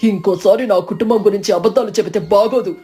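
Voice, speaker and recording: A blunt, hot-tempered young husband bird from a cartoon story played by a woman. The delivery is quick and impulsive in a mellow, mid-pitched voice, with faint music behind. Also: lightly acted. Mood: stern.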